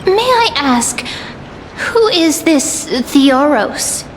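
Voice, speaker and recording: A woman voicing a calm, perceptive young heroine in a video game, polite and measured as she speaks. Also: high-pitched, in a large hall, slight background noise, exaggerated voice. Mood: polite probing. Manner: polite, measured, coolly observant. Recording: music under the voice.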